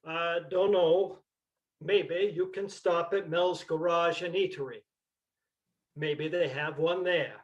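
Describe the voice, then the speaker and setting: A man playing a terse, deadpan old farmer from Vermont in an amateur staged play reading. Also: on the high side, slow, lo-fi sound.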